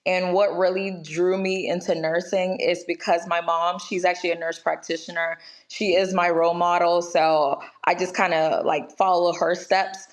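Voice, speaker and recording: Woman in her 20s, from Ghana, nurse guest, chatty, filler-heavy, lowish voice. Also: little pitch movement, moderate pace, hardly any pauses. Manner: chatty, many "like" and "you know" fillers. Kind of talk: casual interview conversation.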